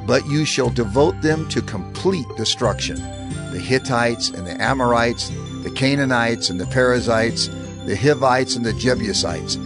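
Measured male scripture narrator over music. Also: medium pitch, expressive. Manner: measured, formal.

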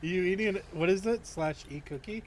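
A man's voice: high-pitched, moderate pace, a little hiss or hum. A man chatting casually with friends while they play a game, making teasing, laughing asides over voice chat. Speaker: roleplayer and gaming friend.

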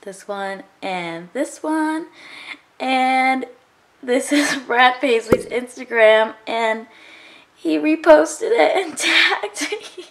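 A bubbly young woman talks straight into a handheld camera, her voice sitting a little high. She rambles cheerfully, with a faint hiss of background noise. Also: expressive, very slow, gravelly. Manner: chatty, upbeat, says "like" a lot. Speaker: vlogger. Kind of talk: casual vlog chat to camera. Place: home, car and gym.

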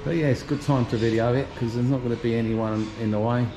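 A man giving a relaxed, chatty walking tour of an outdoor shopping plaza, talking while he walks with his camera. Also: mid-range voice, fast talker, music in the background. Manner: relaxed, chatty, pointing things out. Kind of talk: casual walking tour narration. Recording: noisy outdoor street recording.

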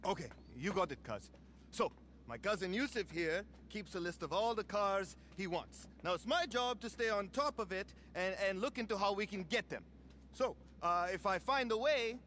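A man's voice: high voice, lively intonation, moderate pace, a bit bright, a little hiss or hum. An actor playing an eager, apologetic young party-boy cousin in a video game, with a slangy, stammering delivery. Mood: eager, explaining. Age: young adult. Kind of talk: video game cutscene acting.

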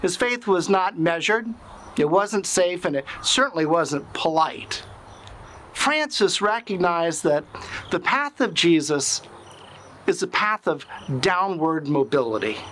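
A man's voice: high voice, expressive, fairly bright tone, slight background noise. A middle-aged man, a priest, giving an earnest, reflective sermon outdoors on a sunny lawn. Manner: slow, earnest, reflective preaching.

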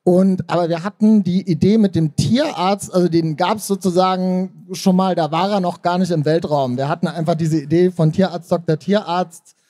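A man's voice: high-pitched, steady tone, normal speed, dark tone. A man answering casually as an interview guest, in a hedging, loosely rambling way. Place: stage at a book fair. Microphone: handheld microphone.

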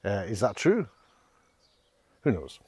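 A man's voice: lowish voice, wildly swooping pitch, takes it slowly, background noise. A middle-aged man giving casual, self-deprecating commentary to a handheld camera as he walks outdoors. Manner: self-deprecating, wry, hesitant asides. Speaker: vlogger, walking guide. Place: outdoors on village streets and country lanes. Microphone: handheld camera at arm's length.

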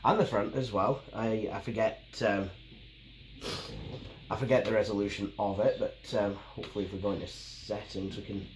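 A man's voice: lowish voice, fairly even intonation, moderate pace. Scottish man, nasal from a cold. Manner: rambling, with many ums and restarts. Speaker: tech video host. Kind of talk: casual unboxing and review. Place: small home office, indoors. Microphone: camera mic at the desk. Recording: roomy, faintly noisy home recording.

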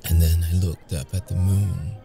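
A deep-voiced, brooding male vampire, acted by a man for an ASMR roleplay, wounded yet seductive. He speaks in a dark, mellow tone with heavy gravel, keeping it intimate and guarded. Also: flat delivery, faint music behind. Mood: haunted, wondering. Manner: slow, halting, intimate delivery. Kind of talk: ASMR audio roleplay acting.

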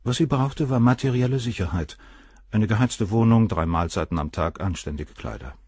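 A man's voice: lowish voice, lively intonation, normal speed, darkish tone, husky, dry recording. An actor in a German crime radio play playing a cold, cynical middle-aged surgeon, detached and coolly superior as he answers questions. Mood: detached, explanatory.

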